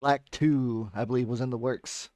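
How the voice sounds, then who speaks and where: A man's voice: mid-range voice, fairly even intonation, normal speed, a little mellow, no room sound. A man who streams games, giving casual, off-the-cuff watchalong commentary into a close mic. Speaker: game streamer, host.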